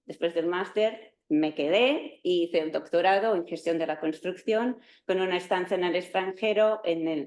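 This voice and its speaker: A woman's voice: on the low side, a little mellow. A woman from Catalonia in her forties, a native Catalan speaker, giving a university talk with some fillers and restarts. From Catalonia, Spain. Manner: self-introducing, explanatory, with fillers and restarts. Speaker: university lecturer. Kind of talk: academic talk to students.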